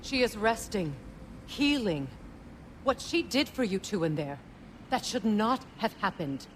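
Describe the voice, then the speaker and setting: A woman's voice: mid-range voice, very animated, measured pace, a little hiss or hum. A woman playing a stern but caring leader in a video game, protective and worried, with a firm, earnest manner. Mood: stern, reproachful. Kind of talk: dramatic video game acting.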